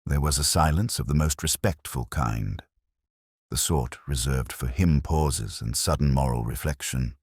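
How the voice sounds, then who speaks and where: A man narrating a scripted British village mystery in a dry, measured, literary way. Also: rumbling low, darkish tone, slightly rough, no room sound.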